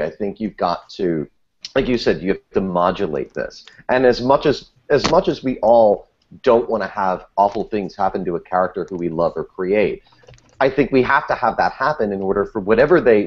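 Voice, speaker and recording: A man's voice: on the low side, animated, a little fast, highs cut off. A man speaking as a podcast guest in a casual panel discussion, with a jokey, playfully theatrical manner.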